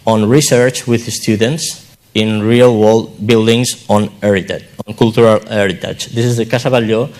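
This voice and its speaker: Low-voiced man presenting haltingly. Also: a little mellow. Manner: halting, simple phrasing. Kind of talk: conference presentation. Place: conference hall.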